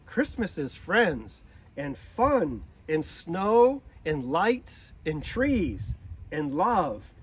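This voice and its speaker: A man reading a children's storybook aloud in a deliberate manner, occasionally stumbling over a word. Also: a little high, sing-song, a little hiss or hum, rough recording. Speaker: zoo director reading a storybook.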